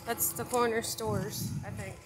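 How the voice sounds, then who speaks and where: A woman's voice: a little high, steady tone, crowd noise, poor audio. A woman, narrating a casual handheld vlog outdoors in the desert, offhand, cursing now and then. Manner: slow, offhand, cursing and laughing. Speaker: vlogger exploring. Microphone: phone camera held by the speaker. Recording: outdoor phone audio with clear wind noise.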